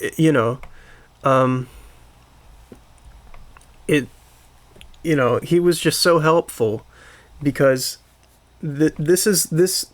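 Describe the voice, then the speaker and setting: A man hosting a casual podcast conversation, talking haltingly and circling back to rephrase with keen interest. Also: fairly high pitch. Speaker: podcast host.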